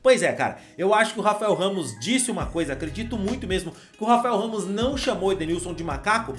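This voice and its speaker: A man's voice: high-pitched, lively intonation, fast, fairly bright tone, soft background music. A man with a Brazilian accent hosting a football channel, giving his opinion in a casual, conversational way and addressing the viewer with slang.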